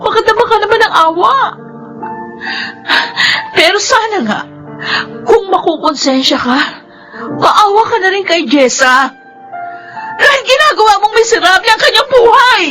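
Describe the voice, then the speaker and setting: A woman's voice: very high voice, very animated, normal speed, long pauses, bright, forceful tone, exaggerated voice. An actress playing an earnest, principled young woman in a radio drama, with a Filipino accent. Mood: pleading. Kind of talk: radio drama acting and narration. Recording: dramatic music under the voice.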